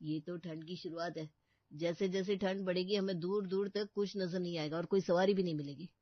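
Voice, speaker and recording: A woman's voice: on the low side, steady tone, fairly quick, no room sound, close to an everyday voice. A woman voicing a dry-witted young man in a cartoon, wry and matter-of-fact. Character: dry-witted elder brother, office worker. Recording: dull, muffled audio.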